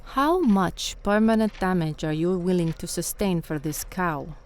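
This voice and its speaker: A woman's voice: lowish voice, expressive, measured pace. An actress playing a menacing, imperious woman crime boss in a radio drama, speaking in formal, haughty, clipped phrases. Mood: cold menace.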